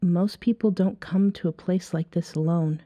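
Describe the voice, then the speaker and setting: A woman playing a flirty, self-assured female vampire in an ASMR roleplay, teasing a stranger at a bar in a soft-spoken, breathy way. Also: fairly low pitch, fairly even intonation, normal speed, dry recording, close to an everyday voice. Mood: coolly coaxing. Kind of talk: ASMR roleplay, acted one-sided dialogue.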